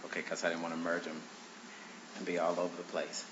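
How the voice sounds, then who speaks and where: A man arguing his own motions before a judge in a courtroom, speaking haltingly with many fillers and restarts, his voice congested from allergies. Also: low voice, completely flat delivery. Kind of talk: courtroom argument. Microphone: courtroom microphone on a stand. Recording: roomy, dull courtroom recording with faint hiss.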